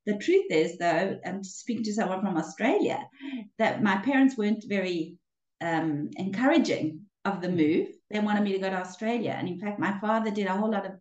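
A woman's voice: mid-range voice, lively intonation, moderate pace, darkish tone, roomy sound, muffled recording. An older woman from Africa, a relationships coach telling her story in an interview, with hesitations and restarts. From South Africa. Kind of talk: casual interview conversation.

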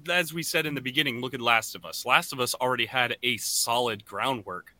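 A man co-hosting a podcast in casual chat, often halting and restarting his thoughts. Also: mid-range voice, sharp tone, no echo. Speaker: podcast co-host.

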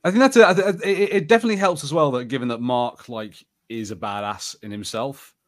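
A man's voice: medium pitch, brisk. A man co-hosting a podcast, chatting casually about TV shows in a rambling, conversational way with plenty of "like". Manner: rambling and conversational, heavy use of "like". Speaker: podcast co-host.

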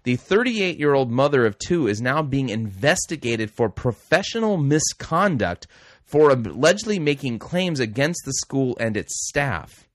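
A middle-aged male host talking casually on his program, trailing off and restarting with wry little asides. His voice sits at an ordinary pitch and sounds close and dry, with no room around it. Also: big pitch swings, a little slow, no echo. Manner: rambling, self-correcting, wry asides. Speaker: radio host. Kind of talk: casual talk show commentary.

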